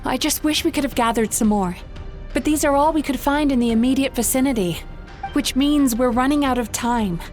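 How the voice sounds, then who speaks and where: An actress playing an earnest, determined young dream therapist in a video game, speaking in an articulate, explanatory way. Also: female voice, sits high, fairly quick, a little mellow, soft background music, close to an everyday voice. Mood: worried resolve. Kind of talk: video game heroine acting.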